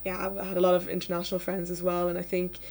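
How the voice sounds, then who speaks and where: A young woman hosts a casual student discussion. Her voice sits a little low and stays quite flat in melody, and she hedges as she thinks aloud. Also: rapid-fire. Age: young adult. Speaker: student host asking questions. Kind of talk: casual chat.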